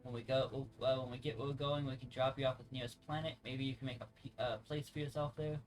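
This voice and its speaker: Man reading as friendly mercenary officer, medium pitch, conversational, plain-spoken, video game dialogue, music behind. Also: level tone, fast talker, lightly acted. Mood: businesslike. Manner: conversational, stumbles over words.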